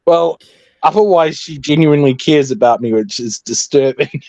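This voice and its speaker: A man's voice: a little high, moderate pace, a little mellow, no room sound, highs cut off. A man in his late twenties chatting casually as a livestream guest, joking and self-deprecating. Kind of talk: casual chat.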